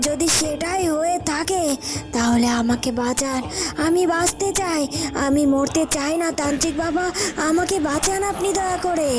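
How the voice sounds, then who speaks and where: A woman's voice: high voice, normal speed, lightly acted. A woman voicing an anxious witch in a cartoon, pleading emphatically for help. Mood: desperate pleading. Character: anxious female witch pleading for her life. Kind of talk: Bengali cartoon voice acting. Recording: music behind the voice. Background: background music.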